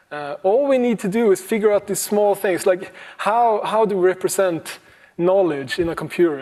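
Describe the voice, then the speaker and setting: A man giving a relaxed conference talk on computing history, with many pauses, fillers and restarts and the odd chuckle. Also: fairly high pitch. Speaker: conference speaker.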